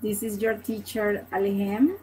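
A middle-aged woman from Venezuela with a Venezuelan Spanish accent, a native Spanish speaker teaching an online language lesson in a halting, hesitant way. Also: medium pitch, steady tone, a little mellow, slight background noise, rough recording. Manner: halting, hesitant, repeats words. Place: small home office, indoors. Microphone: computer webcam at desk distance.

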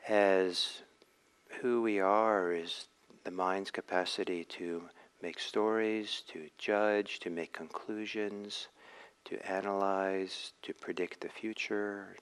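A man leading a guided meditation as a teacher, speaking in a measured, reflective way with frequent pauses. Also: lowish voice, clean tone.

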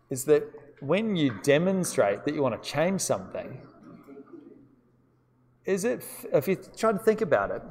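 A man with a New Zealand accent leads a law seminar in an easygoing, conversational way, often turning questions back to the room. His voice sits at an ordinary middle pitch. It is caught by a distant microphone and carries the long reverb of a lecture hall. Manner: casual, chatty, asks students questions. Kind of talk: live-streamed seminar teaching.

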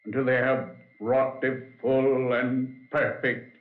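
An actor playing a vengeful undead old man in a 1940s radio horror play, slow, theatrical and coolly gloating. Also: mid-range voice, level tone, natural voice. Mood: grim, vengeful. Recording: muffled, echoey vintage 1940s radio.

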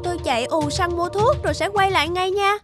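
A woman voicing a kind, earnest young sister in an animated morality tale. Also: extremely high pitch, brisk, music in the background. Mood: earnest, anxious.

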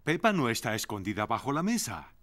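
A man's voice: mid-range voice, very animated, a little fast, fairly bright tone, no room sound. A man reading scripted narration for a children's cartoon. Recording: music under the voice.

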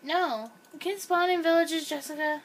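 A woman's voice: very high-pitched, normal speed, noisy background. A young woman chatting casually and rambling while she plays a game, filmed by a camera pointed at a screen. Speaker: gameplay video host. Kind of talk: casual chat while playing. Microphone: camera filming a screen.

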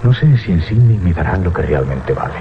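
Un actor de radioteatro de terror interpreta a un capitán de barco codicioso, burlón y teatral. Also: male voice, low voice, big pitch swings, fairly quick, noisy background. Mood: calculating. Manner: theatrical, talks aloud to himself. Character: gruff mocking sea captain, greedy, sliding into terror. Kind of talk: radio horror drama acting. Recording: dull 1980s radio recording.

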